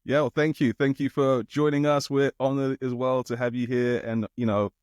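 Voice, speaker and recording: A man's voice: medium pitch, flat delivery, normal speed, a little mellow, smooth, no room sound. Podcast host man on dry mic. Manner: friendly, leans on "you know" fillers. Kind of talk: casual podcast interview.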